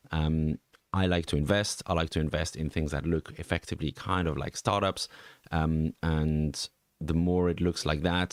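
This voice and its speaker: A man's voice: rumbling low, no echo. A middle-aged man from French-speaking Switzerland with a Swiss French accent, hosting a casual market commentary and thinking aloud with frequent fillers and restarts. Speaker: NFT market analyst host. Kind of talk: casual screen-share commentary.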